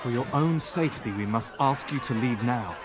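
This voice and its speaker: An actor plays a condescending mage official keeping order in a fantasy game. His delivery is formal and officious, and a loud crowd and heavy noise surround him. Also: mid-range voice, moderate pace, natural voice. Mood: officious, stern. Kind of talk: video game voice acting.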